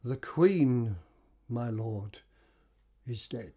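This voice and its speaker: An actor playing a loyal, deferential, subdued attendant in a classical radio drama. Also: male voice, medium pitch, expressive, drawn-out words, warm tone, slightly rough, full character voice. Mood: grave.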